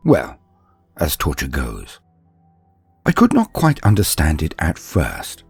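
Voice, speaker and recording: Deep, husky male storyteller, dramatically expressive. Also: no echo, soft background music. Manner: measured, dramatic, pausing mid-sentence. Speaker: storyteller, narrator. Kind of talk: scripted first-person dramatic story narration.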